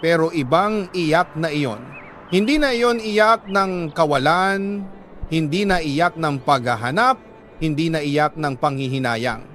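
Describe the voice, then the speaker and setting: A man with a Filipino accent reads a letter as a dramatic first-person story, in a measured, emotive way with drawn-out pauses. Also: fairly high pitch, fairly bright tone, a little hiss or hum. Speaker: radio storyteller reading a listener's letter.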